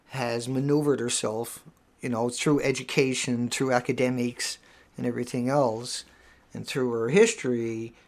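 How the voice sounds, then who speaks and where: Gravelly man rambling on a live stream. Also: mid-range voice. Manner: rambling, emphatic, repeats words. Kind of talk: casual live stream monologue.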